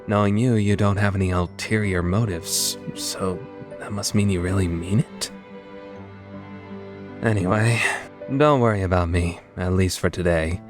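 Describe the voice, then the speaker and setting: Man playing aloof man with hidden kindness, lower pitch, offhand, awkwardly gentle, visual novel drama, faint background music. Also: steady tone, a little slow. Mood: puzzled, softening. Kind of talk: dubbed visual novel acting.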